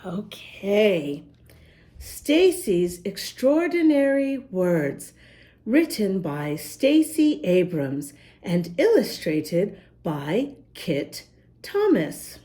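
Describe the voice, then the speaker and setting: A woman's voice: mid-range voice, very slow. A woman reading a picture book aloud to young children, talking directly to them and carefully sounding out words. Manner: animated, addresses young listeners, spells words out letter by letter. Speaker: children's story reader, host.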